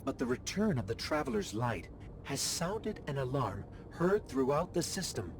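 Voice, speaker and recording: A calm guide in a sci-fi game. He speaks in a measured, expository way with a lively pitch. Ambient game noise sits clearly behind him.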